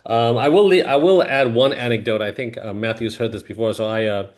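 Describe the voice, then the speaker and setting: A man's voice: medium pitch, a little fast, darkish tone. A middle-aged man hosting a video-call webinar, talking casually with many fillers and restarts. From Hong Kong family, grew up in Singapore. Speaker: webinar host, mortgage lender.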